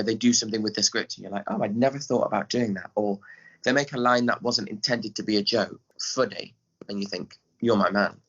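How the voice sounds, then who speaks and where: Male interviewee, English accent, bright forceful voice on the lower side, animated storyteller, lo-fi sound. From England. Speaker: guest, pantomime director and choreographer. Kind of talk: conversational interview. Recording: dull lo-fi audio.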